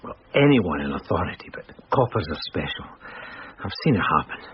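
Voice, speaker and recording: Hungover police sergeant, deferential, muddled, low pitch, radio drama, muffled recording with faint noise. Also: male voice, sing-song, brisk. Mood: earnest, muddled. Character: hungover, apologetic, loyal male police detective sergeant. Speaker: actor.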